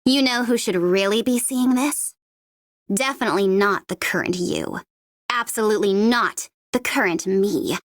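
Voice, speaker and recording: A woman's voice: medium pitch, expressive, normal speed, no room sound, studio quality, natural voice. A woman voicing a sardonic, menacing young mercenary in a video game, with a mocking, taunting delivery. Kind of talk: video game character voice line.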